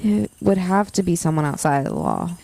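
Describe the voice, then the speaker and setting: A woman's voice: fairly low pitch, wildly swooping pitch, a little fast, creaky, dark tone, no echo, exaggerated voice. A woman playing a keen, friendly young campus journalist in a naturalistic audio drama, conversational and earnest. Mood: concerned.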